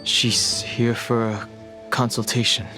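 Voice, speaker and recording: A man's voice: medium pitch, takes it slowly, music in the background, natural voice. An actor playing a reserved, terse and stoic young man in a video game. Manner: flat, terse.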